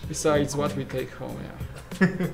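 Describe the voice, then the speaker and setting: Man, thirties, Polish accent, slightly high voice, joking, casual Q&A host, studio. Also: slow, creaky, music in the background. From Poland. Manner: joking, trails off mid-sentence. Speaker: channel co-host answering viewer questions. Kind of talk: casual Q&A chat. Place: large studio room full of shelves.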